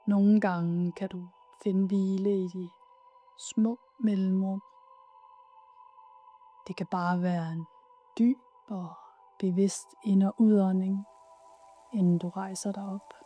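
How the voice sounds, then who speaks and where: A woman leading a guided yoga nidra meditation in a soothing, soft, unhurried way with pauses. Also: mid-range voice, steady tone, muffled recording, soft background music.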